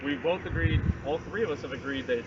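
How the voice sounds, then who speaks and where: Fast-talking, firm policeman outdoors. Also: fairly high pitch. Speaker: police officer. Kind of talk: negotiating a dispute on scene. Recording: dull outdoor pickup, off-mic, street noise.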